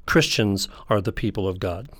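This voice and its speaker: A man's voice: lowish voice, lively intonation, normal speed, fairly bright tone, breathy edge, no echo, studio quality. A man speaking as an interview guest, explaining like a theology teacher.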